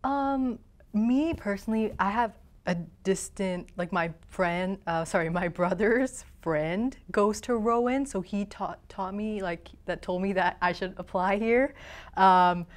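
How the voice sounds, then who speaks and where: A woman's voice: mid-range voice, measured pace. A young woman from Canada chatting hesitantly as a student guest in an interview. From Toronto, Canada. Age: young adult. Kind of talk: casual interview chat.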